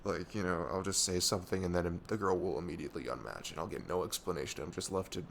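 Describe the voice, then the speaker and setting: A young man playing a caring, reassuring best friend in an intimate ASMR roleplay, soft-spoken and earnest, with audible noise in the recording. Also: sits low, fairly even intonation, fast. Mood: sympathetic, candid. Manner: soft-spoken, often breathy, hesitant, earnest. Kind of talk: ASMR roleplay acting, intimate casual talk.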